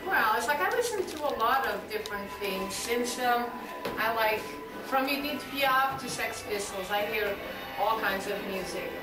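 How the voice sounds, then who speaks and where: A woman's voice: a little high, lively intonation, moderate pace. A woman in her thirties from Portugal with a Portuguese accent, a punk musician searching for words and saying "it's like" often. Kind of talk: casual interview. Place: indoor club hallway, echoey. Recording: echoey room, distant mic, music behind.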